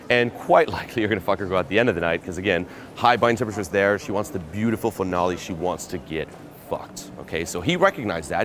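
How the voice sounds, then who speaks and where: A man with a somewhat low voice narrates as a dating coach. His delivery is loose and profane, full of "okay" and "you know". A faint hiss sits under it. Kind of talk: commentary breaking down a recorded pickup.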